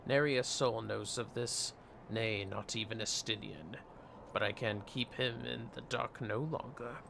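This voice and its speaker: A man's voice: mid-range voice, fairly even intonation, unhurried, creaky, background noise. A man voicing a solemn, aging retired knight, reading fantasy game dialogue in grave, formal, archaic phrasing. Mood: confessional, grave.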